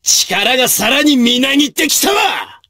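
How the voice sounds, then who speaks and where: An actor voicing a brave, martial samurai officer in a video game, with gruff, raspy, declamatory delivery in archaic period speech. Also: male voice, extremely high pitch, big pitch swings, a little slow, heavily acted. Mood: rousing battle cries. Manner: forceful, declamatory.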